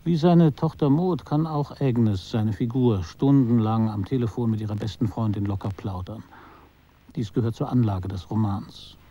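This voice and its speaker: A man performing a detached, ironic literary narrator in a radio drama, with measured, deliberate phrasing. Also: on the low side, warm tone, highs cut off, lightly acted. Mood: measured, wry.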